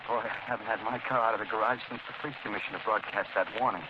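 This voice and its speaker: A man's voice: fairly low pitch, steady tone, rapid-fire, natural voice. An actor playing an uneasy, weary city man in a 1930s radio drama. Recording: noisy, dull 1930s radio broadcast.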